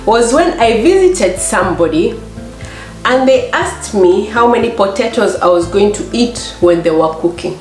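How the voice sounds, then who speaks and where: A middle-aged woman with a Kenyan accent and a slightly hoarse voice, chatting casually as a vlog host and acting out little exchanges as she tells stories. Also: medium pitch, lively intonation, unhurried, darkish tone, soft background music. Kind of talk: casual chat to camera. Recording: echoey room.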